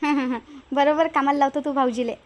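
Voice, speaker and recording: A woman's voice: high-pitched, fairly even intonation, fast, dull audio, lightly acted. A woman voicing a cautious, bossy village woman in a Marathi cartoon, with a rural Vidarbha accent and a homely, questioning way of talking. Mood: chatty. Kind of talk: Marathi cartoon moral-story dialogue.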